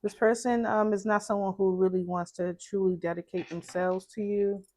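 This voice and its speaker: A woman with a low voice, doing a casual tarot reading in a soft, musing way and repeating phrases as she thinks. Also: level tone, moderate pace. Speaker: tarot card reader.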